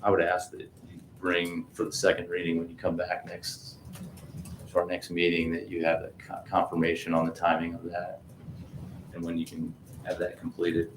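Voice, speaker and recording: A man's voice: low-pitched, completely flat delivery, normal speed. A man speaking formally as the president chairing a town council meeting. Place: indoor council meeting room. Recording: echoey meeting room, clear background noise.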